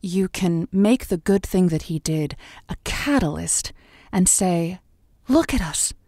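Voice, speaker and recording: Tender, haunted female call-in host for an audio drama: lowish voice, deliberate pauses, intimate dry sound. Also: expressive, dark tone, no room sound. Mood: soothing, coaching. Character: haunted, tender woman radio advice host. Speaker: radio advice show host. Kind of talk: audio drama as late-night call-in radio.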